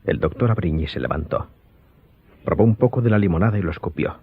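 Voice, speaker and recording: A man giving scripted, dramatic audiobook narration in a husky voice. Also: low-pitched, quick pace. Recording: dull lo-fi sound.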